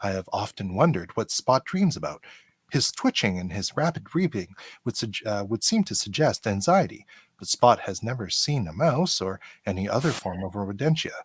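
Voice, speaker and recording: A man voices a polite android officer for a radio play. The voice is low and husky, formal and exact in wording. It is recorded close and dry. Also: big pitch swings, no room sound. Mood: formal, curious. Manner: formal, measured, no contractions. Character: polite, precise, curious male android officer. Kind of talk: amateur radio play live read.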